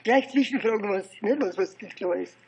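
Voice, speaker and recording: An elderly man with an Austrian accent and a husky voice, telling his recollections informally in a halting, digressive way with repeated phrases. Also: high voice, a little mellow, highs cut off. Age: 70s or older. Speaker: interviewee recounting political and research past. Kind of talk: informal oral history storytelling.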